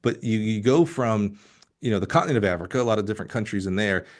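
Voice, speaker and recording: Low-voiced middle-aged man hosting a podcast. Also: lively intonation. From Alabama, United States. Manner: halting, self-interrupting, effusive. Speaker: podcast host. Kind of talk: casual conversational interviewing.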